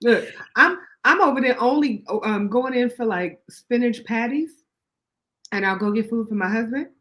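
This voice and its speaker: A woman guest in a casual talk, playful and joking, a little rough from a cough. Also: on the high side, fairly bright tone. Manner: playful, quick with jokes and mock voices. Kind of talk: casual chat.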